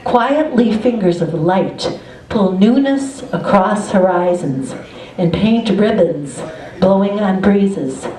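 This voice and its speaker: Woman reciting poetry slowly, echoey room. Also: medium pitch, animated, dark tone. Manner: measured, deliberate phrasing. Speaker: poet at open mike. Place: indoor cafe gallery open mike.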